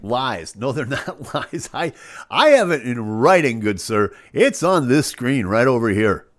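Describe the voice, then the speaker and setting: A man's voice: medium pitch, unhurried. A man with a head cold hosting his live stream, chatting casually and jokingly as he reads out viewer comments. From Chicago area. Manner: jokey, playful, animated delivery with sudden loud bursts.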